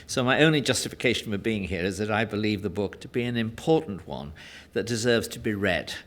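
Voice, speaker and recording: A man giving a formal, courteous speech as a guest, self-deprecating and halting, with frequent hesitations. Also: on the low side, animated, a bit bright. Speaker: guest speaker, journalist and political analyst. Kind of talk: formal speech at a book launch.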